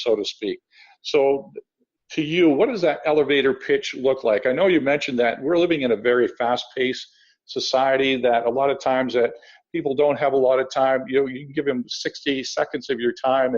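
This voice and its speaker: A man hosting an interview, asking long, conversational questions in a halting, wordy way. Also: medium pitch. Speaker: interview host. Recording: slightly dull, lo-fi sound.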